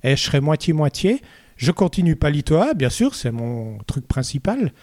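Brief: musician guest, man, Vaud Swiss accent, mellow, dry close mic, rambling with lots of fillers. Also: a little high, big pitch swings, moderate pace, dry recording. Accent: Vaud Swiss French. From Switzerland. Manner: hesitant, many "euh" fillers, chatty storytelling. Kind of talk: casual interview chat.

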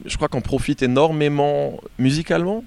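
A man being interviewed as a band singer, chatting casually and haltingly, often repeating words as he goes. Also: on the high side, gravelly, a little mellow, smooth. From born in Algeria.

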